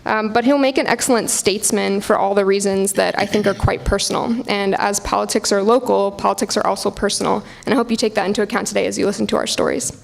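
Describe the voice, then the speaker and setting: A woman's voice: medium pitch, fairly even intonation, fast talker, vocal fry, hardly any pauses. A woman with an American accent gives prepared testimony at a public hearing, earnest and now and then stumbling over her words. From Portland, Oregon, United States. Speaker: public speaker giving testimony. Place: public hearing in a university hall.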